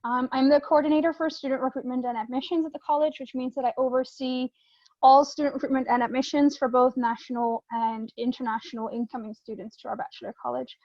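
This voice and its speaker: A woman's voice: sits high, little pitch movement, quick pace, no echo, muffled recording. A Dutch woman introducing herself as an admissions coordinator on a video call, in a friendly manner. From Netherlands. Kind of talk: webinar presentation.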